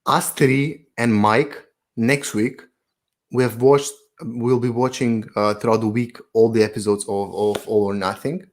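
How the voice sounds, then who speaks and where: A man's voice: medium pitch, fairly even intonation, measured pace. A man co-hosting a podcast in casual chat, blunt and teasing, swearing freely. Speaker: podcast co-host.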